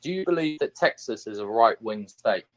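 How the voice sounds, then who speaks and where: A man's voice: medium pitch, expressive, normal speed. A man with an English accent chatting on a casual panel, asking plenty of questions. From England. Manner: chatty, asks many questions. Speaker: guest on a live panel.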